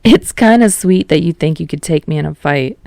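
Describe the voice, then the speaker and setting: A woman's voice: low voice, animated, normal speed, creaky, a little mellow, no room sound. A woman puts on a good-natured teenage boy for an audiobook. He sounds casual and a bit sheepish, in a close, dry recording. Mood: relaxed, teasing. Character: easygoing, sheepish teenage boy struggling with math. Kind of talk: acted dialogue in fan fiction audiobook.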